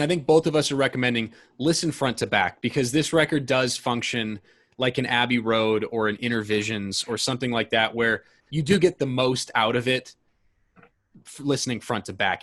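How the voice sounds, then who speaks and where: A man's voice: medium pitch, a bit bright. A man hosts a casual music podcast in a mid-range voice. He rambles with enthusiasm and keeps correcting himself mid-sentence. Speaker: podcast host. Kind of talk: casual conversation about albums.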